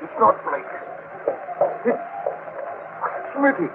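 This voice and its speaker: An actor playing a fearful, haunted sailor who gives ominous, insistent warnings in an old radio drama. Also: male voice, drawn-out words. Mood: dread. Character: haunted, fearful male sailor, grim prophet of doom. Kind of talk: 1940s radio acting. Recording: scratchy, tinny old-time radio recording.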